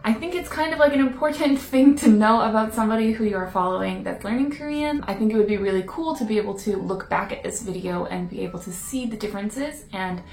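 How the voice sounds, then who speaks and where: A woman's voice: medium pitch, fast talker, gravelly, hardly any pauses, a little mellow. A woman speaking casually in a vlog, chatty and self-deprecating. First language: English. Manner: chatty, self-deprecating, laughs now and then. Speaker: vlogger. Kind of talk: casual talking-head vlog. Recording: roomy echo. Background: faint background music.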